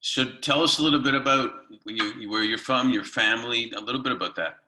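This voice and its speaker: A man's voice: mid-range voice, fairly quick, bright, forceful tone, low-quality recording. A middle-aged man hosting an interview over a video call from home, hesitant with many ums and repeats. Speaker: interview host. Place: home room.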